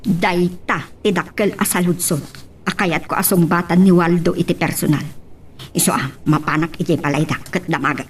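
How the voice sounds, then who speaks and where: A woman's voice: fairly low pitch, steady tone, moderate pace, far from the mic, a little hiss or hum, lightly acted. A woman playing a nosy, insistent gossiping wife in an Ilocano audio drama.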